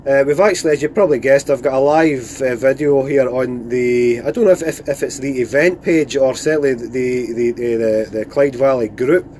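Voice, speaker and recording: A man's voice: medium pitch, normal speed, few pauses, darkish tone, a little hiss or hum. A man with a Scottish accent chatting casually over CB radio in informal operator phrases, speaking from inside a van. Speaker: radio net operator filming a live stream. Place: inside a parked van outdoors in the countryside.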